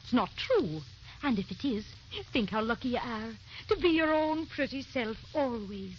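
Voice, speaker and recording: An actress playing a brisk, no-nonsense woman of the household in an old radio drama, scolding with emphatic, theatrical delivery. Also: fairly high pitch, lively intonation, close to an everyday voice. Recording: dull, hissy old radio recording.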